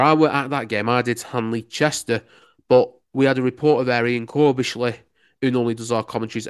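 Young man, Lancashire accent, smooth resonant voice, enthusiastic, chatty, sports reporter. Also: mid-range voice. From Lancashire, England. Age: young adult. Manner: chatty, enthusiastic, rambling with many "you know"s. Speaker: local radio sports reporter. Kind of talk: casual interview answers.

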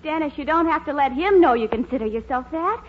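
An actress playing a sweet, sensible young sweetheart in a 1940s radio sitcom, encouraging and supportive. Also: female voice, sits high, expressive, fast. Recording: muffled 1940s radio, audience noise.

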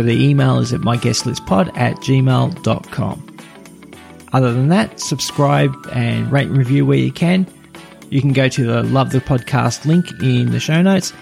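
A man hosting a podcast, giving a steady, scripted review read aloud. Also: medium pitch, creaky. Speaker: podcast host.

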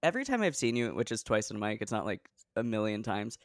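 A man's voice: lowish voice, quick pace, no room sound. Dry-voiced joking young man host. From Oregon, US. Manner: playful deadpan joking. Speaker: podcast host. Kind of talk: casual comedic chat. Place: New York.

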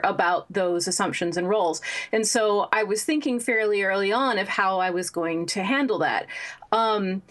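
A woman novelist as an interview guest, answering in a thoughtful, measured way with frequent fillers. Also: medium pitch. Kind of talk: interview conversation.

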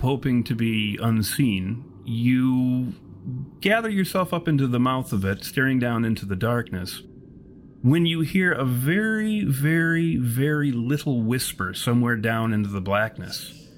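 A man narrating a tabletop horror game as game master, in descriptive, deliberate, self-correcting storytelling. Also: medium pitch. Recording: steady background noise.

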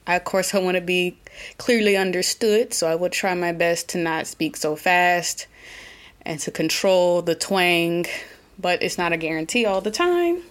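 A woman with an Oklahoma twang hosting her own solo podcast, talking earnestly and reflectively, pausing and restarting as she thinks aloud. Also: on the low side. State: a little nervous. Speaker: podcast host.

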